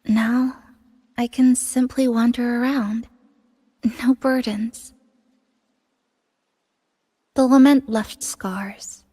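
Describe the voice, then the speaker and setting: A woman playing a gentle, reflective young woman in a video game, soft-spoken, measured and wistful. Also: on the high side, steady tone, in a large hall. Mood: wistful, relieved. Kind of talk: scripted video game character dialogue.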